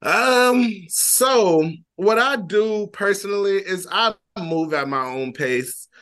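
A man's voice: high voice, takes it slowly, fairly bright tone. A young man from Flint, Michigan, a rapper talking casually as a guest in an interview, laid-back and full of fillers. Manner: laid-back, repeats phrases, many fillers.

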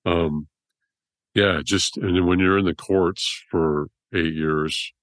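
A middle-aged man, a surgeon guest with a deep voice, rambling through his story in a casual interview. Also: steady tone, takes it slowly, fairly bright tone, no echo, clean studio sound. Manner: rambling, restarts often.

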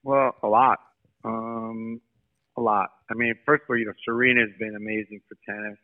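A man from Brooklyn, a guest in a podcast interview, telling brisk, animated stories. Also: on the low side. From Brooklyn, New York. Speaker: sports marketing guest. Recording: tinny phone line.

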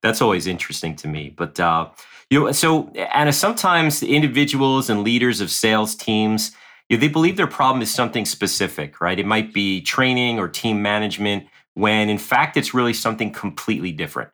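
A man's voice: on the low side, animated, fairly quick, pushed and bright. A man co-hosting a sales podcast in a friendly, conversational way. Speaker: podcast co-host, sales leader.

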